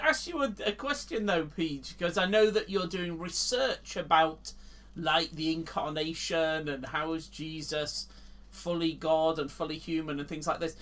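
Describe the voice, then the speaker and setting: An earnest man with an English accent, a longtime Bible teacher, talking with halting restarts. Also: on the high side, a bit bright. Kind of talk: casual Bible teaching chat.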